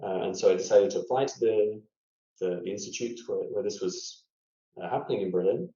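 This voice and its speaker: A man's voice: on the low side, brisk, dark tone. A Scottish man, a scientist guest in a casual interview, telling his story thoughtfully with many fillers and restarts. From Scotland. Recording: echoey room, dull lo-fi audio.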